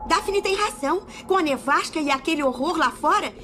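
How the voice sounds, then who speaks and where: A woman's voice: high voice, lively intonation, brisk, loud music behind the voice. An actress voicing a clever, bookish young woman in a cartoon dub, matter-of-fact.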